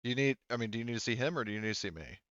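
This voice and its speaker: A man's voice: mid-range voice, expressive, rapid-fire, fairly bright tone, dry recording, dull audio, lightly acted. A man voicing a friendly female colony mechanic in a tabletop game, speaking in a casual, hesitant, matter-of-fact way. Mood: friendly, businesslike.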